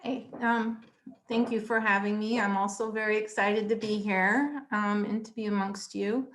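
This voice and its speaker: A woman introduces her research on a panel call. Her voice sits at a middle pitch, and she speaks in an earnest, steady way with a few ums. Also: normal speed. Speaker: professor and panelist.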